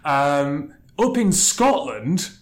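A man hosting a podcast, chatting and joking with his co-host before interviewing a guest, with quick asides. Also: fairly high pitch, big pitch swings.

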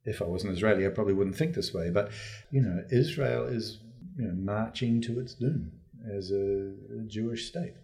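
A man being interviewed in an unscripted discussion, speaking in a halting, searching way and often reworking his phrases. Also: lowish voice, mellow. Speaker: interviewee giving his views on politics.

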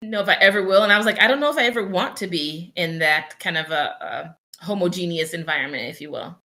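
Woman podcast co-host, young adult, candid, reflective, fillers and restarts, bright tone, lowish voice. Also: fast talker. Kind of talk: casual conversational podcast.

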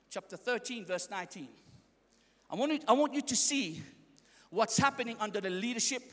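A young man, not a native English speaker, delivers a fiery sermon with a very high, forceful, bright voice. He leads call-and-response chants and often breaks into shouts. The sound rings with long reverb in a big church hall. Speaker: preacher.

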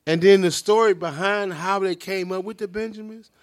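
A man's voice: high-pitched, steady tone, normal speed, no echo, studio quality. A man in his fifties from Chicago's South Side, co-hosting a podcast in casual chat and repeating his points emphatically. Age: 50s. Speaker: podcast co-host.

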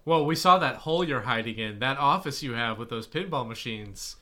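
A man's voice: medium pitch, animated, fairly quick, sharp tone. A man with a Boston accent co-hosting a podcast, chatting in an easygoing way. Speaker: podcast co-host. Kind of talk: casual podcast chat.

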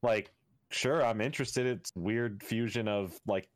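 A laid-back man with a fairly low voice chats on a gaming podcast. He talks his points through casually, leaning on "like" and "you know". The recording is dry. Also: a little slow, no echo.

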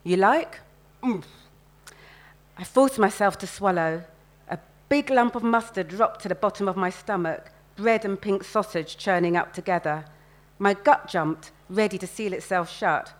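A woman's voice: mid-range voice, normal speed. A woman, an author reading first-person fiction aloud expressively at a live event.

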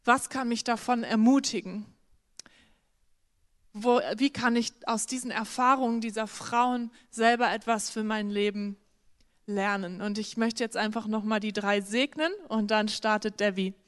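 A woman's voice: a little high, little pitch movement, a little slow. A woman introducing the speakers at a church service and praying over them in an inviting, prayerful way.

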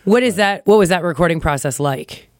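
A woman's voice: lowish voice, expressive, fairly quick, darkish tone, no echo, studio quality. Chatty woman co-hosting a casual interview. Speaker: radio show co-host and interviewer. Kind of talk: casual chat.

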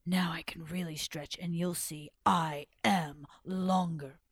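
Lady doing a big vain snake guy for a story, low n breathy, slow braggy drawl. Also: steady tone, no room sound, clean studio sound, exaggerated voice. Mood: boastful. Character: boastful giant male python, slow and vain. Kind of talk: oral storytelling.

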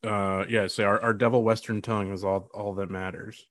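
Lowish-voiced man bantering on a podcast. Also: little pitch movement, a little fast, fairly bright tone. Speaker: podcast co-host. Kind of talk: casual, joking podcast banter.

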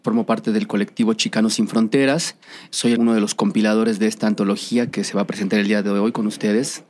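A man's voice: on the low side, fairly even intonation, fast, darkish tone. A man with a central Mexican accent, a historian explaining things in a formal, academic way. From Mexico City. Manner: articulate, academic. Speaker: historian, interview guest.

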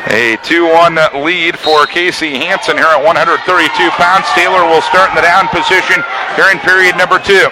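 An American man calling live radio wrestling play-by-play, giving measured updates on score and time. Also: fairly high pitch, steady tone. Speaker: play-by-play sports announcer. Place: noisy indoor wrestling tournament venue. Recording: live sports broadcast amid loud crowd noise. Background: loud crowd and event noise.

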